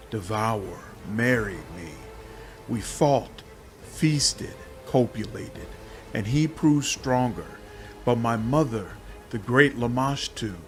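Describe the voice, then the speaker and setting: A man voicing a captive, hungry female demon swarm of many bodies in game dialogue, intoning words one by one in a halting manner. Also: medium pitch. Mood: solemn, eerie, then pleading.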